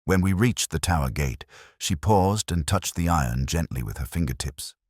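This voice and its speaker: A man narrating a literary detective story in the first person, with a solemn, measured, brooding delivery. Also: very deep voice, animated, darkish tone, breathy edge, no room sound, studio quality.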